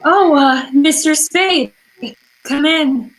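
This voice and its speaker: A woman's voice: high voice, takes it slowly, a bit bright, rough recording. A woman playing a pleading, manipulative femme fatale in old-time radio noir style, hesitant, fluttery and melodramatic. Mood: flustered.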